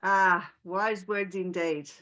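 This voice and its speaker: A woman's voice: lowish voice, steady tone, slow pace, sharp tone. A woman speaking as a podcast host, interviewing a guest in a conversational style.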